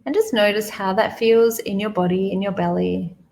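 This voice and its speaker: A woman guiding a meditation slowly and soothingly, repeating phrases with pauses, in a creaky, gravelly voice. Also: medium pitch, fairly even intonation, a little mellow. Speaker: guided meditation leader. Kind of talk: guided money meditation, spoken softly to the listener.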